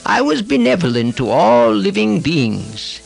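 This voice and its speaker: A man playing a remorseful fallen priest of ancient India in a solemn, theatrical audiobook monologue with a gravelly voice. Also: sits high, wildly swooping pitch, measured pace, faint music behind, voice pushed up, exaggerated voice.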